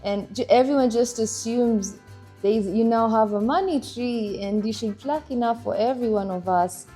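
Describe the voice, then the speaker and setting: A young woman from Kenya, chatting casually about her marriage, animated and laughing now and then. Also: fairly high pitch, moderate pace, soft background music. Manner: animated, laughs while telling stories. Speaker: interviewee, wife in a couple. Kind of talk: casual conversational storytelling.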